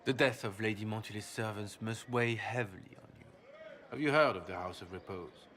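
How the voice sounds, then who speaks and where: A man playing a suave, courteous nobleman with a secret sinister side in a video game, speaking in a ceremonious, coolly measured way. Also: lowish voice, a bit bright, slight background noise, lightly acted. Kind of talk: dramatic video game cutscene acting.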